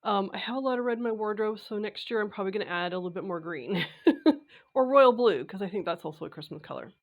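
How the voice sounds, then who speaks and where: A raspy, stuffed-up woman talks to camera in an easy, chatty way, pausing often on filler sounds. Her voice sits at an ordinary middle pitch for a woman, a little rough from a lingering cold. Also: expressive, lo-fi sound. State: congested, recovering from a cold. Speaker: sewing vlogger. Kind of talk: casual talk to camera.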